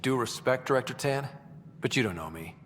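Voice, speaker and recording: A man's voice: mid-range voice, animated, brisk, a bit bright, a little hiss or hum, natural voice. Man as an earnest young space hero, echoey hall. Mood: earnest, firm. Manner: plain-spoken, respectful. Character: earnest, level-headed young male space explorer hero. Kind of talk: video game cutscene acting. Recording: reverberant hall with background music.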